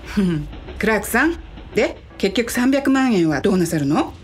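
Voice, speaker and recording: Actress as a ladylike, expressive woman boss. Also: medium pitch, normal speed, close to an everyday voice. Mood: inquiring. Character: composed, genteel female company president. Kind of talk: radio drama acting.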